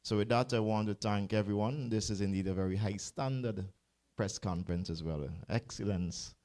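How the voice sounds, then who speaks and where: Deep-voiced sports executive, earnest, formal, stumbles and repeats words. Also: male voice, normal speed, darkish tone. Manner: earnest, repeats words when searching for them. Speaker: cricket board president. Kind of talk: formal closing remarks.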